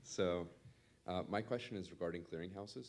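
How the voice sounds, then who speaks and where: A man in his twenties speaks as a graduate student in an audience. His voice is very deep. He puts a prepared question to the speaker. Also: fairly even intonation, normal speed, darkish tone. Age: 20s.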